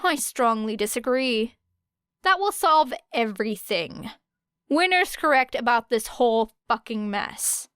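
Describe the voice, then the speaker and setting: A defiant, sarcastic young woman, as an audiobook reader plays her, prickly and curt. The delivery is slow and scoffing, in a dry, close recording. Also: fairly high pitch, lively intonation, a bit bright, clear, resonant tone, no echo. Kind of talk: audiobook character voice.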